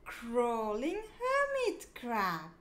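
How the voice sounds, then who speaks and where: A woman with a high voice reads a picture book aloud for small children. Her intonation is very lively and sing-song. She goes extremely slowly, pronouncing each word clearly for young learners. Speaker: children's storybook reader.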